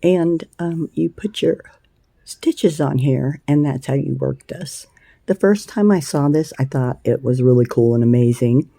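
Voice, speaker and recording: A woman with a scratchy voice hosting a casual crochet tutorial, chatty and unhurried, with plenty of ums and uhs. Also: a bass voice, creaky, mellow, no room sound. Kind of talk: casual hands-on craft tutorial.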